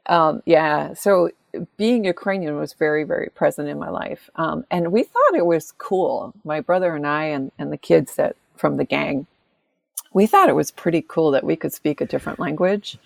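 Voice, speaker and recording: A middle-aged American woman, an author, chatting in an interview and telling animated family stories, laughing often and acting out the voices of people she quotes. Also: deep voice, normal speed, vocal fry, dry recording. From United States. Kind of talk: casual interview conversation.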